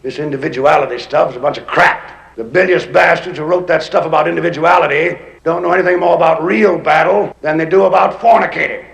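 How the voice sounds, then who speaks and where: An actor as a gravelly, gruff military commander. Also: male voice, a little high, fairly even intonation, a little fast, fairly bright tone. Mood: scornful, forceful. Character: gruff, profane, commanding older military man. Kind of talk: film speech. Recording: echoey film soundtrack.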